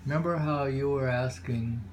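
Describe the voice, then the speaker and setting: A man reading haiku aloud in a deliberate, musing way, pausing between poems and adding casual asides. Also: medium pitch, rough recording. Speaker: poetry reader. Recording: very audible background noise, homemade recording.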